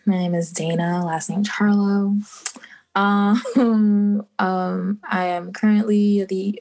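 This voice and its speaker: A young woman from the Bahamas, in her twenties, answers interview questions in an easygoing way. Her voice has a lot of vocal fry, and she hesitates with ums and laughs softly. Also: medium pitch. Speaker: podcast guest. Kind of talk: casual interview.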